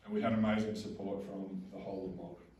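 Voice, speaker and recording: A booming Australian man giving a community talk, with plenty of ums and restarts. His voice is low and mellow, and his pitch stays flat. He is picked up from far off in a roomy, echoing hall with a faint hum behind him. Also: highs cut off. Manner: halting, many fillers and restarts. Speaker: mining company representative. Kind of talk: community information session presentation. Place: public meeting hall. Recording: roomy hall recorded from a distance.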